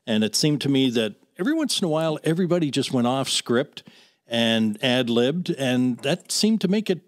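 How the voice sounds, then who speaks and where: A man's voice: medium pitch, lively intonation, normal speed, no room sound. Male podcast host, dry close sound. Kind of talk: conversational interview questions.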